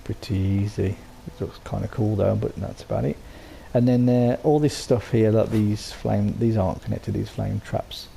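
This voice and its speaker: A man with a sore throat and a croaky voice, casually talking through how he built something, rambling with lots of ums and restarts. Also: fairly low pitch, fairly even intonation, normal speed, few pauses, dark tone, slight background noise. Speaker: game settlement builder. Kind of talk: casual voice-over walkthrough.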